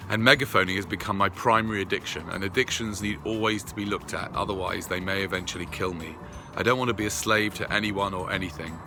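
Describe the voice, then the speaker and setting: A man earnestly reading out a prepared personal update to his followers in a deliberate way. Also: low-pitched, music in the background. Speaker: video creator addressing followers.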